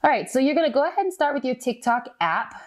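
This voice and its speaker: A woman's voice: high-pitched, sing-song, fast talker, over music. A woman with an American accent, talking informally and with slang as a vlogger walking viewers through a step-by-step tutorial. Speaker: beauty vlogger giving a tutorial. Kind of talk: casual step-by-step tutorial chat.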